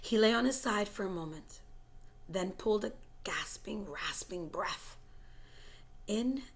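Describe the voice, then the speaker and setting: A woman reading a story aloud in a dramatic, suspenseful way, moving between hushed whispers and forceful, louder phrases. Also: medium pitch, measured pace. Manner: suspenseful and expressive, dropping to whispers and rising to loud, forceful phrases. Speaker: teacher reading a book chapter aloud.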